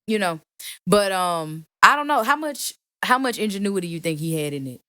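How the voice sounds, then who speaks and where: A woman singer and songwriter with a deep voice, chatting as a guest in an animated, emphatic, playfully teasing way. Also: normal speed, slight background noise. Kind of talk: casual interview chat.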